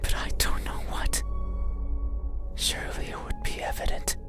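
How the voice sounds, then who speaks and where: A lonely monster played by an actor speaks from a horror game in a breathy, intimate whisper. The delivery is very slow and stilted, full of yearning, as if unused to words. Prominent music plays underneath. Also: close to an everyday voice. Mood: eager, longing. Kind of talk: ASMR horror game monologue.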